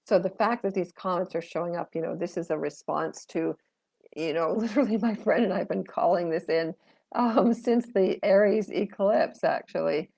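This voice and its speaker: Low gravelly woman reading tarot live. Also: normal speed, a little mellow, no echo. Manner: chatty, rambling with fillers, talks to viewers' comments. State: losing her voice. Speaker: tarot reader and astrologer.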